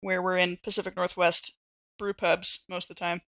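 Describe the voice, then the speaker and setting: Woman on a gaming podcast, bright clear-cut voice, just chatting away casually. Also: on the low side, little pitch movement, moderate pace. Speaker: podcast player. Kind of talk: casual chat.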